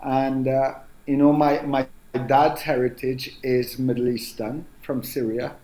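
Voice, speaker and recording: A man's voice: mid-range voice, flat delivery, mellow. Reflective Trinidadian man in an echoey room. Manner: reflective, unhurried, frequent "um" and "you know". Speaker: author guest. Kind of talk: casual interview conversation.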